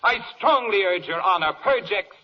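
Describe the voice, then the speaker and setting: High-voiced, scornful radio prosecutor. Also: male voice, animated, moderate pace. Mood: indignant. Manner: haughty, declamatory rhymed oratory. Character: indignant, scornful prosecutor in a cosmic court. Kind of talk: 1940s radio verse comedy acting. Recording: muffled, noisy old radio broadcast.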